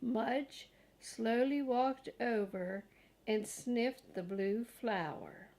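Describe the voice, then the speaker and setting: A woman's voice: fairly high pitch, extremely slow, darkish tone, low-quality recording. An older woman reading a children's storybook aloud as a grandmother, expressively and with casual asides.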